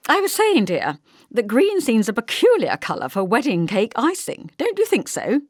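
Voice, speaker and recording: Genteel middle-aged snob done by a woman reader, nosy and disapproving, voice swoops up high, storybook, close dry sound. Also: wildly swooping pitch, normal speed, no echo. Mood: fussy, insistent. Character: prying, snobbish middle-aged mother of the groom.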